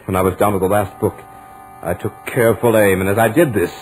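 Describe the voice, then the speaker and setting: An actor playing a studious, stubborn young lodger with dry humour, in measured, dramatic 1940s radio horror style. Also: male voice, on the low side, fairly even intonation, natural voice, rough recording. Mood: excited. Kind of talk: 1940s radio horror acting, first-person narration. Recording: old radio drama recording with music.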